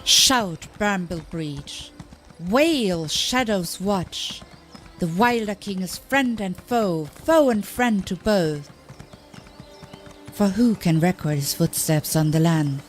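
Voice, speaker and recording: Low, slow woman reading solemn fantasy lore. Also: expressive, faint music behind. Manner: solemn, declamatory, measured. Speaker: audiobook reader.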